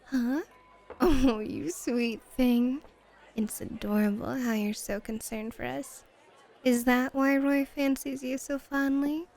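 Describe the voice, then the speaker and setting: A woman's voice: sits high, steady tone, darkish tone, a faint crowd. A woman playing a flirty, dominant and playful hostess at a bunny club in an ASMR roleplay, teasing and drawing out her words coquettishly. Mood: flirty, fond. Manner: teasing, coquettish, drawn-out purring.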